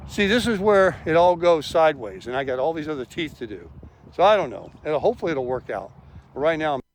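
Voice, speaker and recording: A man's voice: on the high side, very animated, fairly quick, a little hiss or hum, rough recording. A man chatting casually in a vlog, talking through things in an informal way.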